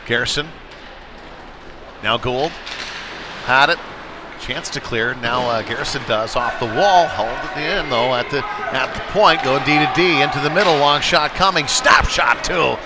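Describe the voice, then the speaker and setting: A man's voice: mid-range voice, measured pace. A man doing live play-by-play of youth ice hockey in a conversational way, with filler words and casual asides. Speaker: play-by-play sports commentator. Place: indoor ice rink. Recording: noisy live rink broadcast.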